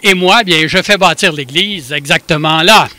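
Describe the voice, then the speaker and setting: A man playing a genial French Sulpician priest from the past, addressing people in a deliberate, teacherly way as a historical re-enactment. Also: a little high, pushed and bright, no echo. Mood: explanatory, proud. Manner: deliberate, teacherly, engages the listeners with questions. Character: long-dead male French Sulpician priest, genial town founder. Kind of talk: first-person historical re-enactment speech.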